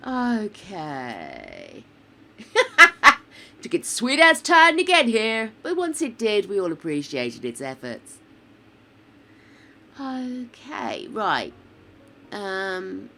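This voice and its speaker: An older woman streaming a building game, chatting casually, swearing freely and muttering to herself, her voice croaky from a sore throat. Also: medium pitch, wildly swooping pitch, drawn-out words, long pauses, sharp tone, slight background noise.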